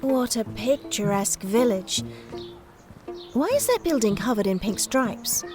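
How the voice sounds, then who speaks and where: A woman's voice: fairly high pitch, animated, unhurried, music in the background. A woman playing a prim, sheltered young lady from the past in an audio drama, speaking formally with precise diction. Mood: polite, curious.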